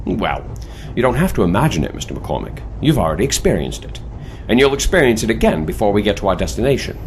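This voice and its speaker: An actor playing a suave, mysterious airship commander, formal and dryly teasing, in an audio drama. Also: male voice, on the low side, big pitch swings, fast, slight background noise. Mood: wry, teasing.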